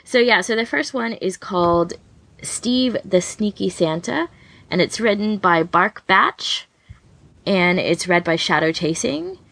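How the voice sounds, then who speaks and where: A woman's voice: on the low side, creaky, fairly bright tone. A woman podcast co-host chatting casually and informally as she gives recommendations, often breaking off and rephrasing. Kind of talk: casual chat, giving recommendations.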